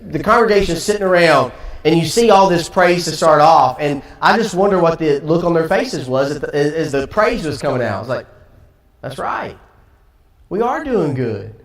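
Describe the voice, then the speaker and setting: A man with a Southern American accent teaching a Sunday Bible class in a conversational way, acting out quotes as he goes. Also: fairly high pitch. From Tennessee. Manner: conversational, acts out quotes, light laughs. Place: church auditorium. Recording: echoey room, from far off.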